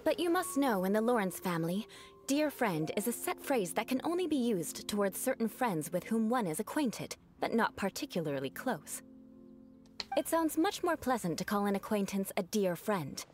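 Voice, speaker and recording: A woman's voice: mid-range voice, very animated, moderate pace, a little mellow, soft background music, natural voice. An actress playing a proud young aristocratic woman knight in a video game, aloof and formal with precise, haughty diction. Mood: composed, lecturing. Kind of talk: scripted video game voice acting.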